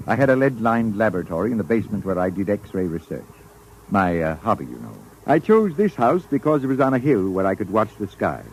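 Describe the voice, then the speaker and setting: An actor playing a gracious, lonely older doctor, halting and emotional, in 1950s radio drama style. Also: male voice, on the low side, very animated, a little mellow. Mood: wistful. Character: elderly doctor, gracious lonely host and family man. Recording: noisy vintage 1950s radio recording.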